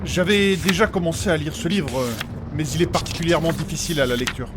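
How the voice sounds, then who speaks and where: A man's voice: fairly high pitch, quick pace, noisy background, close to an everyday voice. A man playing a learned, formal and fussy scholar of magic in a comic fantasy audio drama, precise and prone to repeating himself. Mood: fussy, flustered. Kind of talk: French fantasy comedy audio fiction acting.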